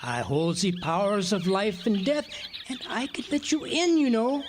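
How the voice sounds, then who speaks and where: Swooping, crooning mad lighthouse keeper. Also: male voice, sits high, animated, moderate pace, slight background noise. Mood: gloating, deranged. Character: Auguste, mad lighthouse keeper, taunting and gloating. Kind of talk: live radio drama acting. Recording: outdoor live stage recording, birdsong.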